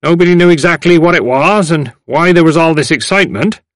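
A man's voice: a little high, a little fast, no echo, clean studio sound, voice pushed up, close to an everyday voice. A man voicing a garrulous old village man from a novel, rambling and repeating himself in a rustic way. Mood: eager, reminiscing. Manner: rustic, rambling, repetitive, stumbling over words.

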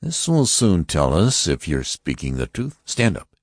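A man voicing a stern male Martian officer who interrogates passengers in a slow, commanding, threatening way. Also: deep voice, big pitch swings, no echo, clean studio sound, exaggerated voice. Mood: menacing.

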